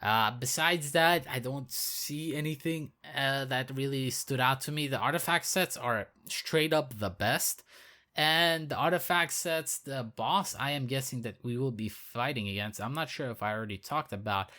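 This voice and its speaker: A hoarse man who is losing his voice, giving casual, rambling commentary on a game update as a video creator. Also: medium pitch, moderate pace, sharp tone. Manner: rambling, filler-heavy, repeats himself.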